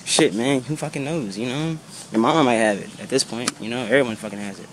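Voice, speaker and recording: A man's voice: mid-range voice, quick pace, noisy background. A young man in his twenties with a sick, slightly off voice, ranting casually to his vlog camera with lots of "you know" and "bro" and free swearing, filmed outdoors with a handheld camera. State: sick, voice a bit off. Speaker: vlogger. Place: outdoors under trees by a brick building. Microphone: handheld camera held low at arm's length.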